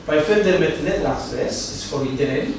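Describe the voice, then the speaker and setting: A man speaking Dutch as a second language, a foundation representative giving a short speech, mixing in English words and pausing often. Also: on the high side, animated, creaky. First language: not Dutch. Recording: echoey hall from far off, noisy.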